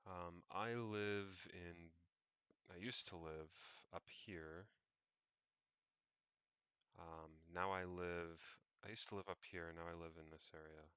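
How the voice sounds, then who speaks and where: A young man narrating what he does on screen, unscripted, in a halting, meandering way as he thinks aloud. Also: sits low, steady tone, a bit bright, smooth, dry recording, muffled recording. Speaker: vlogger.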